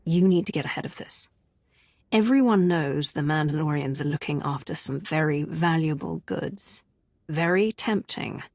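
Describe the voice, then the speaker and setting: An actress playing a cool, decisive commander in a video game, with a crisp, assured delivery. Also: female voice, low-pitched, moderate pace, a little mellow, dry recording, clean studio sound. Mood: cool, probing.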